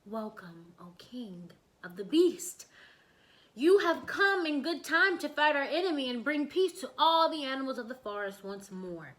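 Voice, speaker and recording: Woman as an earnest, high-voiced storybook tiger. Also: big pitch swings, a little slow, a bit bright, heavily acted. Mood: respectful, formal. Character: big male tiger, respectful, anxious spokesman of forest beasts.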